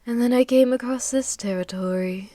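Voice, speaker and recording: A woman playing an ancient, possessive vampire mistress in an intimate ASMR roleplay, speaking softly and teasingly with frequent drops into a whisper, close to the microphone. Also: on the high side, no room sound, close to an everyday voice. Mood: wistful, sinister. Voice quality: soft, breathy, often dropping to whispers. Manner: slow, teasing, haughty, commanding. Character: ancient, possessive, ruthless yet seductive female vampire mistress. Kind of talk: intimate ASMR audio roleplay, monologue to listener.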